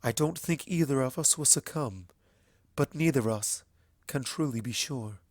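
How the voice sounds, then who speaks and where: A man voicing a kind, earnest female alicorn pony princess in a storybook reading, speaking in a solemn, measured way. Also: medium pitch, no echo, studio quality, natural voice. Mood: grave, trusting. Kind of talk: fan fiction storybook reading.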